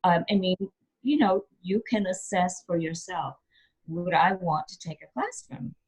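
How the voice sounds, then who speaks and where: Middle-aged female yoga teacher, lowish voice, encouraging interview guest. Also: a little slow, darkish tone, husky, poor audio. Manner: earnest, encouraging, repeats key phrases. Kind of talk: interview answers, giving teaching advice.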